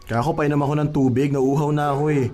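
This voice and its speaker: High-pitched, cheeky Filipino workman played by a man. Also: little pitch movement, fast, darkish tone, slight background noise, close to an everyday voice. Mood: complaining, showing off. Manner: teasing, playful, cajoling. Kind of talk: Filipino radio-style comedy drama.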